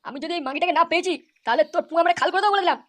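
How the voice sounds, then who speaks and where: A performer puts on a young male cartoon troublemaker with a piercingly high voice. He rattles out curses and boasts at breakneck speed, always aggrieved. Clear noise sits in the low-budget dub. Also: rapid-fire. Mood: angry, boastful. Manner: aggrieved, cursing, blustering. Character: hot-headed foul-mouthed young man chasing college girls. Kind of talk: comedy cartoon dubbing.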